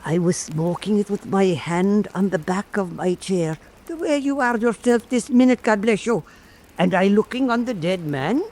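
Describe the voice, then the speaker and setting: A man playing an old Irish island storyteller, telling an eerie fireside tale in a deliberate, theatrical way for radio drama. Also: high voice, animated, darkish tone. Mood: eerie, measured.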